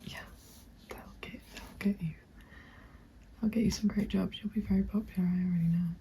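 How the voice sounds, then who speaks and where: A young woman in a gentle, encouraging ASMR roleplay, speaking in a soft breathy whisper. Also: mid-range voice, steady tone, a little fast, slight background noise, rough recording. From England. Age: young adult. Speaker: ASMR roleplayer playing a witch recruitment agent. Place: small dim room indoors. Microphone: close microphone. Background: computer keyboard typing.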